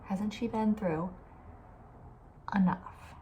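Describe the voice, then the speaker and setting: A young woman with a soft, breathy voice, often near a whisper, chatting casually and with playful opinions in an ASMR style. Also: medium pitch, drawn-out words, a little hiss or hum. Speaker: ASMR video creator.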